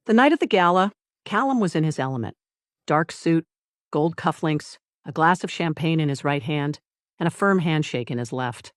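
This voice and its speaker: A narrator reading a scripted dramatic story in deliberate short phrases with dramatic pauses. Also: medium pitch, no echo, clean studio sound. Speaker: audiobook narrator.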